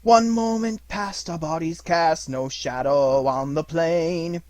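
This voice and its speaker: A man recites as a wild wolf pack giving its solemn jungle song. The storybook verse is loud, declamatory and measured, with drawn-out words. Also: on the high side, dry recording, pitched above the performer's own voice. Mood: solemn, rousing.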